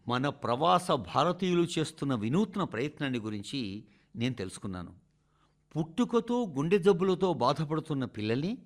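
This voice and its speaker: A man reading a scripted radio address in a formal, measured oratorical manner, speaking directly to the listeners. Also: on the high side. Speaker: radio reader of a translated national address.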